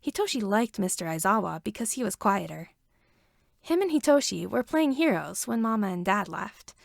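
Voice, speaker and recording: A woman's voice: mid-range voice, big pitch swings, normal speed, gravelly, no room sound, studio quality. A woman reading a story aloud as scripted narration. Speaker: fan fiction reader.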